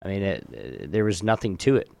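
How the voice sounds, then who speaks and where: A man hosting a trivia game in a casual chat, often halting and restarting his phrases. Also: sits low, big pitch swings, creaky, a little mellow. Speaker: podcast host running a trivia game.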